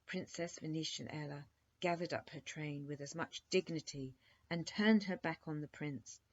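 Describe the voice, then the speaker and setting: A woman reading a children's bedtime story aloud as an expressive narrator. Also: deep voice, moderate pace, a little hiss or hum. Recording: dull lo-fi recording.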